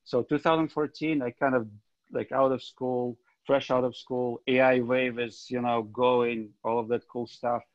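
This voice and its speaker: A man's voice: mid-range voice, little pitch movement, a little slow, no echo. A man from Ukraine introducing himself casually on a video call, loose and full of fillers. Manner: loose, filler-heavy, self-deprecating. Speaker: tech coordinator. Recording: dull dry call audio.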